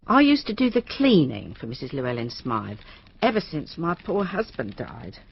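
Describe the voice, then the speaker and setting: An actress playing a deferential, anxious widowed cleaner, confiding hesitantly in a radio drama. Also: female voice, sits low, big pitch swings, normal speed, creaky, dull audio, a little hiss or hum, heavily acted.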